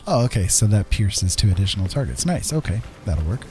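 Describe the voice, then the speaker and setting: A man's voice: lowish voice, very animated, fairly quick, warm tone, soft background music. A middle-aged man casually commentating as he plays a game live, friendly and rambling, often talking to himself. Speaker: game streamer.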